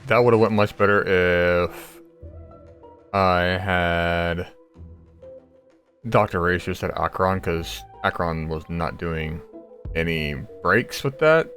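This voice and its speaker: A man's voice: low-pitched, slow pace, pauses often, a bit bright, soft background music. A man commenting casually on a game as he plays, blunt and irritated, with a sweary way of talking. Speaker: gaming video host.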